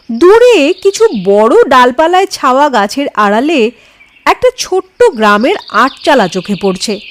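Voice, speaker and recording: High-pitched, expressive woman storyteller. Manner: expressive, acts out dialogue. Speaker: audio story narrator. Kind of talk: dramatic scripted storytelling.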